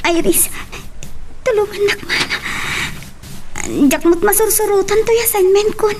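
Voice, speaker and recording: A woman's voice: very high voice, unhurried, background noise. A woman playing an anxious, love-struck schoolgirl in a radio drama, fretful and pleading, with an Ilocano accent.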